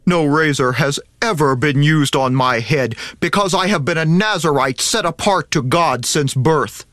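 A man's voice: on the high side, unhurried, a bit bright, no echo, studio quality. A man playing Samson, a mighty biblical strongman, solemn and defiant, in an earnest, declamatory radio drama style. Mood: solemn, confiding.

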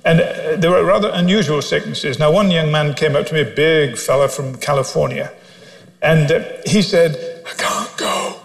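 A man's voice: fairly high pitch, moderate pace, vocal fry. A man, preaching a sermon as a story, humorous and self-deprecating. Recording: long hall reverb.

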